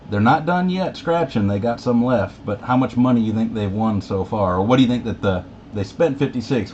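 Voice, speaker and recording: Male CB operator, low-pitched, casual banter, heard through a dull radio channel with clear noise. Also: a little mellow. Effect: CB radio transmission. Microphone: CB radio microphone, heard through receiver. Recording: muffled CB radio reception with static.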